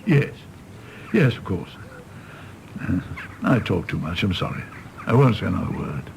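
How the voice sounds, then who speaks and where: A man acting a tipsy, bitter, apologetic middle-aged British doctor in a radio drama, rambling and halting in a gravelly voice. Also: sits low, sing-song, slight background noise, heavily acted, low-quality recording. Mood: pleading, apologetic. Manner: rambling, halting, swinging from ranting to meek.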